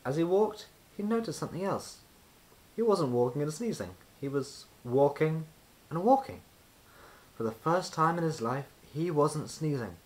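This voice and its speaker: Very animated male storybook reader. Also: on the high side, poor audio. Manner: expressive, deliberate storytelling with emphasis on repetition. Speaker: children's book reader. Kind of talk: scripted storybook narration.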